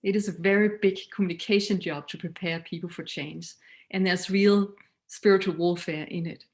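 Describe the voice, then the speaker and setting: Danish lady giving a prepared talk, earnest and measured, accent noticeable, trails off softly at the end of lines. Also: fairly low pitch, steady tone. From Denmark. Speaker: mission leader.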